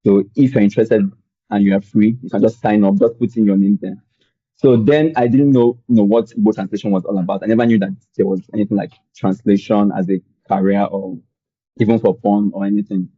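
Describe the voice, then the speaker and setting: A young man from Nigeria with a Nigerian accent, telling his story as a podcast guest in a relaxed, rambling way with many fillers. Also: on the low side, brisk, breathy edge, no room sound, highs cut off. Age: young adult. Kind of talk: conversational storytelling.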